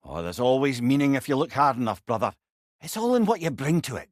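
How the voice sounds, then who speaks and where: A man's voice: medium pitch, wildly swooping pitch, a little fast, no echo, studio quality. An actor playing a witty, learned old male sage in a video game, with a Scottish accent and a wry, eloquent way of talking. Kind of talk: video game character dialogue.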